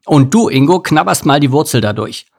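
A man voicing a gruff, good-hearted bear in a children's story, growly and blunt as he takes command. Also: lively intonation, moderate pace. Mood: urgent, commanding. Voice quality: deep, growly. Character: deep gruff bossy male bear, good-hearted rescuer.

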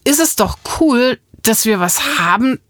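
A woman in a children's audio play conversation, explaining things simply with thoughtful pauses. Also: fairly high pitch, expressive, a bit bright, no room sound, clean studio sound. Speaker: presenter talking with a child character.

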